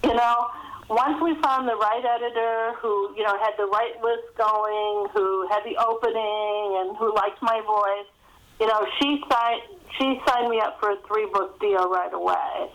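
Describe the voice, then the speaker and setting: A woman's voice: mid-range voice, steady tone, unhurried, fairly bright tone, rough recording. A middle-aged woman talking conversationally as an author in an interview, chatty and anecdotal, often saying "you know".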